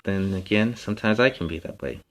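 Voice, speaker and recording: A man playing a friendly, earnest young superhero who gently explains things as a children's story time host. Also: sits low, brisk. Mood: earnest, reassuring. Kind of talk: informal talk to an online audience.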